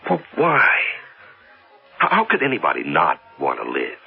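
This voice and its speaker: An actor with an American accent playing an intense, stubborn, devoted husband in a radio drama. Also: male voice, low voice, wildly swooping pitch, moderate pace, gravelly, breathy edge, heavily acted. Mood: earnest, reassuring. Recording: narrow muffled radio recording with music.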